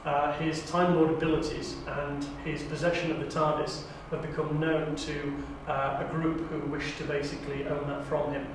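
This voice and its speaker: A man's voice: a little high, little pitch movement, normal speed, few pauses. A man talking casually at an audition, as an auditioning amateur film maker. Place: echoey indoor university room. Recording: very echoey room, distant mic, faint noise.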